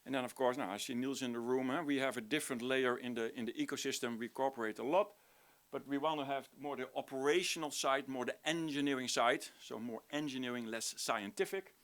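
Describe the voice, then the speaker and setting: A man's voice: medium pitch, brisk, a bit bright. A middle-aged man from the Netherlands with a Dutch accent, a native Dutch speaker, presenting informally as a university lecturer at a conference and often restarting as he searches for words. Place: conference room, before an audience.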